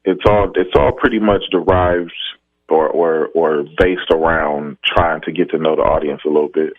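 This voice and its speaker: A man's voice: very deep voice, moderate pace, phone-quality sound. A man, a stand-up comedian, chatting casually on a podcast about his start, rambling with frequent laughs. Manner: laid-back, rambling, laughs often.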